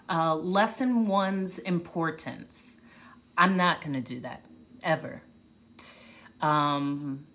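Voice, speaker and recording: Woman speaker, casual livestream chat, slightly low voice, unhurried and reflective. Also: pushed and bright. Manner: unhurried, reflective, talks with pauses and restarts. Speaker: livestream host, motivational speaker. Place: home livestream. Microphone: phone or webcam mic.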